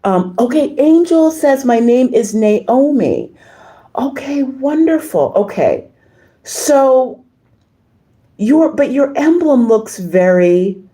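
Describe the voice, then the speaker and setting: A slightly hoarse woman hosting a casual livestream, reading and answering viewer comments in a halting, effusive way. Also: sits high, very animated, dark tone. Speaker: livestream host.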